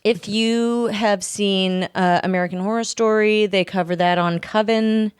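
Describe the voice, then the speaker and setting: Clear-voiced woman hosting a casual podcast. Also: mid-range voice, steady tone, unhurried, no room sound, studio quality. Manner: informal, joking. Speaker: podcast host. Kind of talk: casual chat with storytelling from notes.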